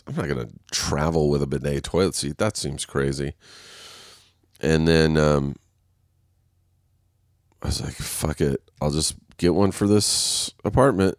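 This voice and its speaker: A man's voice: deep voice, no room sound, clean studio sound. A man hosting a casual solo podcast, rambling and swearing, laughing at himself, sounding congested and nasal. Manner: rambling, profane, self-mocking, laughs often. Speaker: podcast host. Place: waiting on a set, recording alone.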